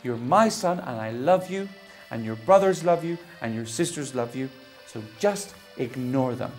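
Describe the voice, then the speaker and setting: A woman voicing a loving mother duck in a storybook, gentle and reassuring. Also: a bass voice, expressive, normal speed, soft background music.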